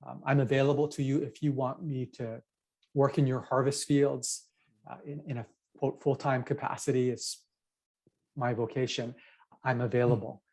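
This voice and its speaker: A middle-aged man from Japan, a pastor and author, answers interview questions. He tells his story in a measured, reflective way. His voice sits at an ordinary middle pitch. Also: little pitch movement, darkish tone.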